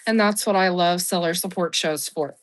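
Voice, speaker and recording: A woman hosting a live-selling stream, hoarse as she recovers from the flu, chatty and apologetic, with plenty of ums. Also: fairly low pitch, moderate pace. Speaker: live-selling app host. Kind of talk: casual livestream seller-support chat.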